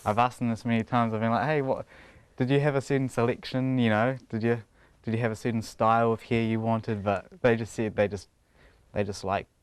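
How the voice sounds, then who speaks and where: A young man with a New Zealand accent talks casually through an interview, often laughing and restarting his sentences. His voice sits a bit low and comes across smooth and clear. The sound is dry, with no room echo.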